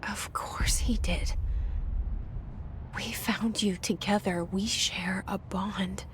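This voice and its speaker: An actress playing a guarded, haunted young heroine thinking to herself in a video game, in a hushed, intimate delivery. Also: female voice, mid-range voice, fairly even intonation, slow, slight background noise, close to an everyday voice. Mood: hushed, uneasy. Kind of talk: video game inner monologue.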